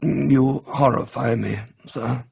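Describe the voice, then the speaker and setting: A man voicing an unflappable, deferential English gentleman's valet, speaking formally in a measured, deadpan way in a comic radio reading. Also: medium pitch, vocal fry, slightly rough, highs cut off, heavily acted. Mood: grave, deferential.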